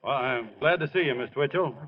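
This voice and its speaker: An actor playing a shy, eager, good-natured young rookie ballplayer in a 1940s radio drama, on an old recording. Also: male voice, mid-range voice, brisk, muffled recording, natural voice. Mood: bashful, eager.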